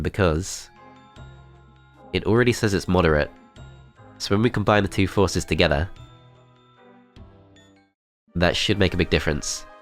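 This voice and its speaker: Deep-voiced man with a stuffy cold. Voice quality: nasal, congested. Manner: calm, methodical, thinking aloud. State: has a cold, blocked-up nose. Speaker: gameplay commentator. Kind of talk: strategy game let's play commentary.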